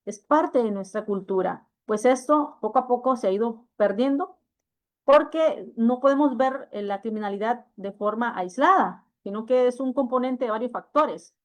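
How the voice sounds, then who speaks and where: A woman from El Salvador, an educator moderating a panel discussion, speaking in a measured way with frequent hesitations and fillers. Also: fairly high pitch.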